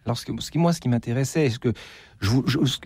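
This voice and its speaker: Male author in interview, soft dark timbre, hesitant phrasing with repeats, dry close sound. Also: mid-range voice, husky, no room sound. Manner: halting, repeats words while searching for phrasing. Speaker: guest novelist. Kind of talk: unscripted interview discussion.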